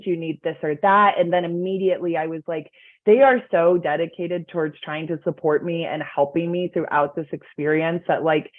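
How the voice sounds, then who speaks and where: A young woman student panelist giving casual, chatty advice on a video call, with lots of filler words. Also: lowish voice. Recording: tinny phone-like video call audio.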